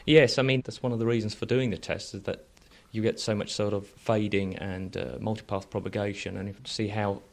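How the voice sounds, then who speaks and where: Lowish-voiced male engineer, informal and halting. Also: flat delivery, vocal fry. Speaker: transmitting station engineer being interviewed. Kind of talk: conversational technical explanation.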